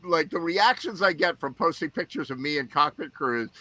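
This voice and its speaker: A man whose first language is German, co-hosting a casual chat show and telling stories in an animated way, with lots of restarts. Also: on the high side, moderate pace, a crowd in the background. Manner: animated storytelling with frequent restarts.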